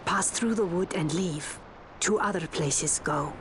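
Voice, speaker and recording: A woman's voice: on the low side, unhurried, noisy background, lightly acted. A woman playing a stern, aloof leader of a forest race in a fantasy game, commanding and terse, with archaic phrasing. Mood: cold, commanding. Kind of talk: dramatic fantasy game acting. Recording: loud orchestral music behind.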